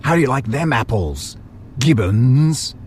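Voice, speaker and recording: A man playing a maniacal butcher villain in an audio drama, taunting in a theatrical, drawn-out way. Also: medium pitch, lively intonation, a little mellow, a little hiss or hum, lightly acted. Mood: gloating, forceful. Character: menacing maniacal butcher villain, gloating over his victim.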